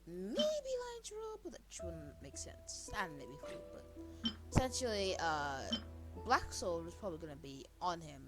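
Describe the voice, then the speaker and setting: A woman's voice: fairly low pitch, wildly swooping pitch, a little slow, poor audio. A young woman giving casual gameplay commentary, slangy and rambling as she thinks aloud. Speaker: gaming video creator. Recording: loud game music behind voice.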